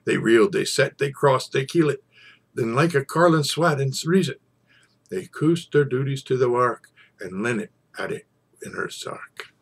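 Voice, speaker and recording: A man reciting an old narrative poem in a theatrical storytelling style, with dramatic pauses and swells. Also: medium pitch, expressive, gravelly, slightly rough. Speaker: poetry reader.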